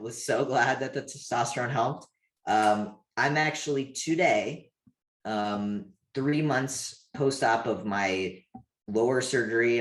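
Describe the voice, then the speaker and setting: A man of around thirty talking informally and candidly as an interview guest, often dropping in "like". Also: on the low side. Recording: roomy, echoey sound.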